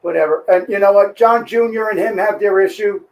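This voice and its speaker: A man's voice: sits high, fairly quick. A man hosting a show, chatting casually and eagerly cutting in over his guest. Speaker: show host. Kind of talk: casual political chat.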